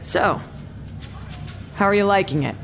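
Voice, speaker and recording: An actress playing a gentle, patient researcher, speaking in an encouraging, conversational way in an audio drama. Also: female voice, medium pitch, animated, a little slow, gravelly, soft background music. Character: gentle, patient female researcher coaxing a withdrawn colleague. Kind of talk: naturalistic audio drama dialogue.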